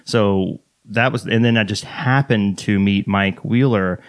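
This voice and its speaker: Rambling man podcast co-host, low voice. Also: unhurried, no echo, clean studio sound. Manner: rambling, loose storytelling. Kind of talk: casual podcast chat.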